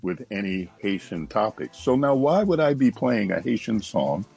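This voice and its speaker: A man hosting a morning radio show and reading announcements in a steady announcer's manner. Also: on the low side, moderate pace, gravelly, breathy edge, faint music behind. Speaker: radio host. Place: radio studio.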